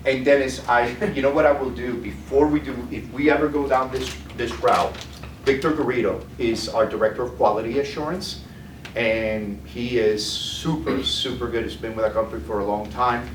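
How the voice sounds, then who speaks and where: A man's voice: mid-range voice, steady tone, normal speed. A man giving a live product demo as a sales presenter, often tagging his points with "okay?". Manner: tags lines with "okay?". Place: food trade demo room. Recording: echoey room, mic far off, noisy.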